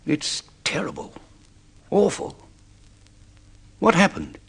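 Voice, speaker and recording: An actor playing a well-dressed, sympathetic middle-aged old friend in an old-time radio drama, hesitant and concerned. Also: male voice, a little high, huge pitch swings, takes it slowly, vocal fry, fairly bright tone, husky, heavily acted. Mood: shocked.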